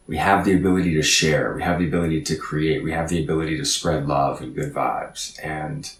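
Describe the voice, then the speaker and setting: A man's voice: a bass voice, fairly even intonation, a little fast, reverberant, far from the mic, a little hiss or hum. A man in his thirties giving an earnest, reflective motivational talk as a podcast guest, often repeating words as he thinks. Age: 30s.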